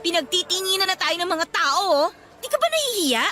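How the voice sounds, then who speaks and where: A woman's voice: very high-pitched, sing-song, fairly quick, faint music behind, full character voice. A woman acting as a sassy, feisty young student girlfriend in a Filipino radio romance drama, with a Filipino accent. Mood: irritated, defensive. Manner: sassy, sharp-tongued.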